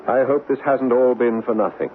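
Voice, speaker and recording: An actor playing a determined, lovesick young suitor, intense and earnest, in old-time radio drama style. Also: male voice, mid-range voice, steady tone, moderate pace, phone-quality sound, faint music behind, lightly acted. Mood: earnest, yearning. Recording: thin, noisy vintage radio recording.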